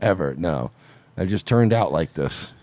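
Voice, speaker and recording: A man's voice: sits low, animated, measured pace, a little mellow, no echo. A man co-hosting an online show, giving crude, mocking commentary full of profanity and sarcasm.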